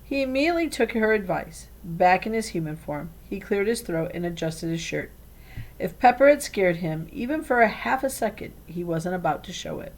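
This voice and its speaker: A woman reading a children's storybook aloud in an expressive way. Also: on the low side, moderate pace, poor audio. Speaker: read-aloud reader.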